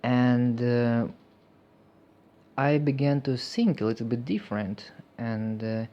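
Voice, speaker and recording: A man with a Russian accent, a native Russian speaker, talking in an unscripted personal vlog, halting and full of fillers, reflective and earnest. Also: medium pitch, dull audio. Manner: hesitant, halting, many fillers. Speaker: vlogger.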